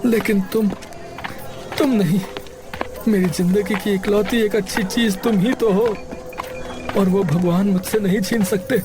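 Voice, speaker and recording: A man's voice: high-pitched, fairly even intonation, measured pace, pauses often, over music, low-quality recording. A man acting a distraught, stubborn, devoted husband in a dramatized moral story, agitated and stammering over repeated words. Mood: anguished, defiant.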